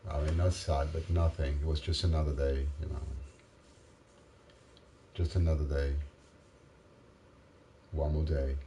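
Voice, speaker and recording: A man, tired after a late night, rambling haltingly to the camera in a self-mocking way. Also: little pitch movement, warm tone, slight background noise. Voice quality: deep voice. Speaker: vlogger. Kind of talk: casual rambling monologue.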